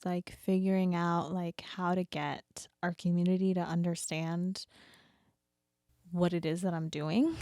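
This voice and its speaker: A woman's voice: fairly low pitch, no room sound, clean studio sound. A young woman co-hosting a casual podcast, talking earnestly with frequent laughs and "like". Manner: earnest, laughs often, lots of "like". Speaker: podcast co-host. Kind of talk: casual chat.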